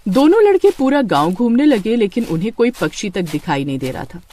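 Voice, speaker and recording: A woman's voice: medium pitch, very animated, a little fast, warm tone, slight background noise. A woman narrating a scripted moral story.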